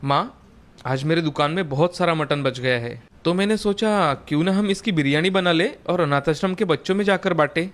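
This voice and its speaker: A man's voice: on the high side, a little fast, close to an everyday voice. A man voicing a kind, earnest young butcher and devoted son in a story, speaking politely.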